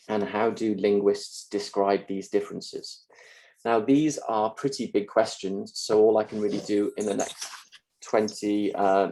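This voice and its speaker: Gravelly man giving a calm lecture. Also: fairly low pitch, poor audio. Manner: measured, explanatory, calm. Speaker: university linguistics lecturer. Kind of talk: scripted mock lecture.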